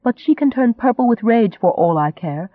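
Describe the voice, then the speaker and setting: A man voicing a proud, sharp-tongued young Englishwoman in an audiobook, indignant and biting. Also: high-pitched, normal speed, no echo, phone-quality sound. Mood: defiant.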